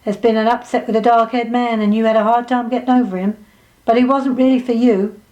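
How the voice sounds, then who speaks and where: A woman's voice: fairly high pitch, fairly even intonation, brisk, darkish tone, muffled recording, close to an everyday voice. A woman voicing a blunt, theatrical older woman psychic who pronounces fortunes colloquially in a storybook reading. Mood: knowing. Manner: colloquial, pronouncing, calls listeners dear.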